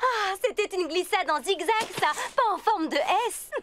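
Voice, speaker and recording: Very high, upbeat cartoon instructor. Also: female voice, expressive, normal speed, heavy background noise. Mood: instructive. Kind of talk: French cartoon dub acting.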